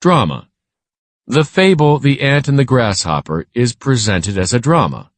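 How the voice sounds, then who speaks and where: A man reading a scripted children's lesson aloud in a measured, instructional way. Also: mid-range voice, expressive, no echo.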